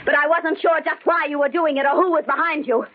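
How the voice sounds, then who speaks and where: An actress playing a frightened but steely wronged woman in an old-time radio thriller, speaking dramatically and deliberately. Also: high-pitched, steady tone, narrow, tinny audio, lightly acted. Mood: coldly triumphant. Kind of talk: old-time radio thriller acting and first-person narration. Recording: band-limited old radio drama with music.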